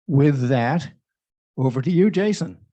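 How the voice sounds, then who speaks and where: A man introducing a guest lecturer, halting and full of fillers. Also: mid-range voice, lively intonation, dark tone. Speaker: host introducing the speaker. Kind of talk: speaker introduction. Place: lecture room. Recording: dull lo-fi sound.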